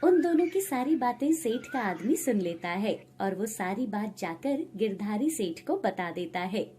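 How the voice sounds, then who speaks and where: A woman's voice: mid-range voice, sing-song, measured pace, warm tone. A woman reading a scripted moral story as its narrator.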